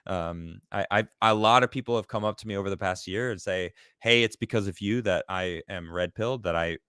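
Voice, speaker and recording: A man's voice: deep voice, a little fast, a bit bright, clean tone, dry recording. A man from Chicago hosts a loose live-stream chat, laughing a lot as he reads out viewer comments. His voice sits in a middle range, and the recording is close and dry, with no room sound. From Chicago, United States. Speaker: talk show host.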